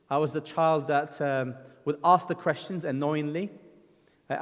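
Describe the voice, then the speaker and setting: A middle-aged man from Lebanon preaches in a church hall, and his voice carries a long reverb. His pitch sits a little high for a man. He tells his own story earnestly and conversationally, with ums and restarts. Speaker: guest preacher. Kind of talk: sermon with personal testimony.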